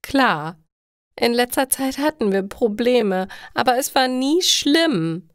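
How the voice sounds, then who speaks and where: A woman's voice: a little high, lively intonation, takes it slowly, no room sound, clean studio sound, voice pushed up. A woman voicing a heartbroken, tearful young woman in a story, with an emphatic, wailing lament. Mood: hurt, upset.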